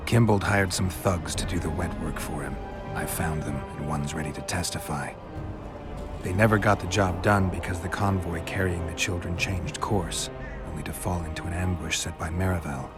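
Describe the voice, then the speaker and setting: Game casting: man playing blunt, weary monster hunter, very low gravelly male voice, terse deadpan, music underneath. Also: normal speed, natural voice. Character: gruff, blunt, world-weary monster-hunting mercenary. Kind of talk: video game voice acting.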